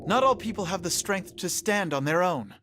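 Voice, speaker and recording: Noble, higher-pitched young king. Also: expressive. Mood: solemn, reflective. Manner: formal, measured, earnest. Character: noble, idealistic young male king, composed and earnest. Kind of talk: English video game voice acting. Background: music.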